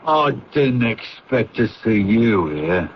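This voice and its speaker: An actor playing a gruff, evasive, shady airfield boss, brusque and mocking, in an old-time radio drama. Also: male voice, lowish voice, very animated, slow pace. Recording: dull radio recording, faint noise.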